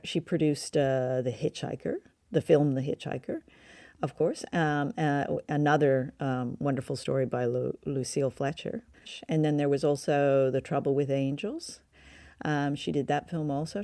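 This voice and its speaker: A woman's voice: low-pitched, vocal fry, dark tone, no echo, clean studio sound. A woman co-hosting a review show in casual, informative conversation. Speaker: co-host of an old-time radio review show.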